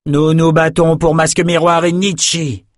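A man's voice: medium pitch, completely flat delivery, slow, no echo, clean studio sound, close to an everyday voice. A man voicing defiant rebel gladiators in an audiobook, declaiming battle slogans with fervour. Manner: declamatory, fervent delivery. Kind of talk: audiobook character acting.

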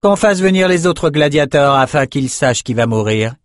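Guy doing a ruthless gladiator boss lady, bossy and barking, pitched up, storybook style. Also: animated, moderate pace, no echo, studio quality, natural voice. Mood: stern, cold. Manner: imperious, barking orders. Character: stern, ruthless warrior woman in command of gladiators.

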